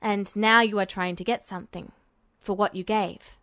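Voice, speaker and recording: A woman voicing a pensive, cryptic teenage boy soldier in a story, speaking in cold, deliberate, clipped phrases. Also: mid-range voice, steady tone, bright, forceful tone, no echo, studio quality, voice pushed down, natural voice. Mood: cold, probing. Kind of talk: audiobook character dialogue.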